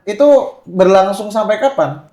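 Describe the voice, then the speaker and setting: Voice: mid-range voice, lively intonation, moderate pace, darkish tone, roomy sound. A podcast host asking probing questions in a playful, casual way, laughing often. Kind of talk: casual interview.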